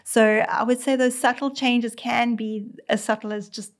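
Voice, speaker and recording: South African vet, chatty, higher-pitched woman. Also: fairly even intonation, normal speed. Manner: explains by speaking as the cat in first person, many fillers and restarts. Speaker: veterinarian guest. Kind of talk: conversational expert explanation.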